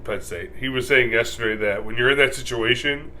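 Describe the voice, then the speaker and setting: A man co-hosting a casual football talk show with a big, loud voice, swearing casually as he rambles excitedly about the game. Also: mid-range voice, fairly quick. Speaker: co-host. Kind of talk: casual sports chat.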